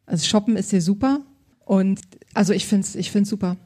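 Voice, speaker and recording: Mellow-voiced upbeat woman stylist. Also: medium pitch, fairly quick. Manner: enthusiastic, upbeat, colloquial. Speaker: style consultant. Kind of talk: casual interview answers.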